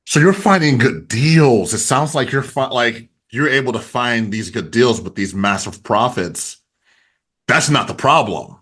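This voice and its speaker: Man in his forties, very animated pitch. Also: measured pace, breathy edge. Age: 40s. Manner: informal, slangy, interjecting. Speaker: interview host. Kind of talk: casual interview chat.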